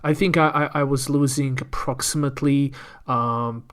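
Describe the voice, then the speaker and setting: A man's voice: mid-range voice, steady tone, normal speed, a bit bright. A man, speaking as a podcast guest and candidly telling a personal story, often repeating words as he thinks. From Croatia. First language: Croatian. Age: 30s.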